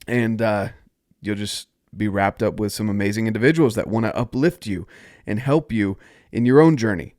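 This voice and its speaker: A man's voice: lowish voice, moderate pace, a little mellow, no echo, clean studio sound. A man from North Carolina hosting a solo podcast, talking upbeat and encouraging while stuffed up from a cold. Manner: upbeat, encouraging, invites listeners to join. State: stuffed-up nose. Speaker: podcast host.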